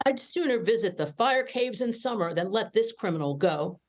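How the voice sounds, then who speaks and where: A woman voicing gruff, hot-tempered alien toughs in a sci-fi script reading. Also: medium pitch, animated, moderate pace, lightly acted. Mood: defiant.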